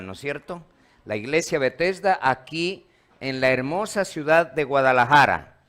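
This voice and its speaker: Man, pastor, from Ecuador, slow, slightly high voice, church sermon, call-and-response. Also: a bit bright. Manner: call-and-response, quizzing the congregation. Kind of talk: sermon to a live congregation. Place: church hall.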